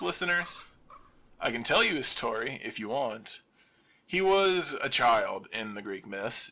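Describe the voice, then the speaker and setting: A man performing a scripted audio drama monologue as a lone survivor hosting a radio show, with wry deadpan humor. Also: medium pitch, rough recording.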